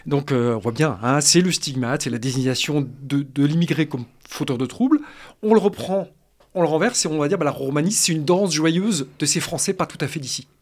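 A man explaining music history in a radio discussion, halting with many restarts and fillers but analytical. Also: on the high side. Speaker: guest expert on music history.

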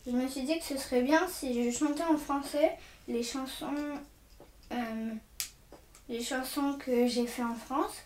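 A woman's voice: high voice, fairly even intonation, unhurried, darkish tone. A teenage girl answering interview questions hesitantly. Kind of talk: casual spoken testimony. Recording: echoey room, faint noise.

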